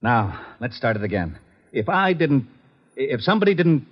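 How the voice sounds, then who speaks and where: An American actor playing an exasperated, easily flustered police lieutenant in an old radio detective drama, sarcastic and blustering. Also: male voice, medium pitch, very animated, moderate pace, husky. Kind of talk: 1940s-50s radio comedy-detective acting. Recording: dull, lo-fi vintage radio recording.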